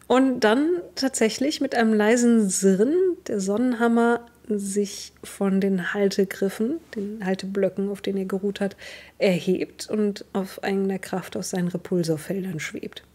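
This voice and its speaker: A woman's voice: mid-range voice, steady tone, normal speed, dark tone, slightly rough. A young woman narrating a tabletop role-playing game as its game master, recorded indoors over an online call.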